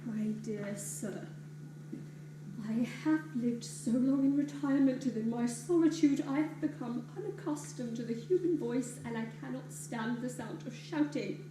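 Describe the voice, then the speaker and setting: Stiff, proper widow, actress on stage, formal and cold; far-off, noisy room. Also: female voice, fairly high pitch, a little slow, lightly acted. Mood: pleading. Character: prim, haughty widowed lady. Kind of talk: stage play acting. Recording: echoey hall, distant mic, hiss.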